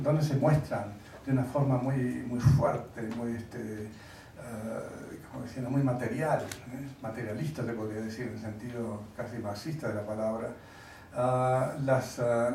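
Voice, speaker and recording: A man giving a lecture, wry and conversational, pausing often to search for words. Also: medium pitch, fairly even intonation, dark tone, a little hiss or hum. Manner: halting, searching for words with many fillers. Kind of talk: lecture to an audience. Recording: echoey hall, mic at a distance.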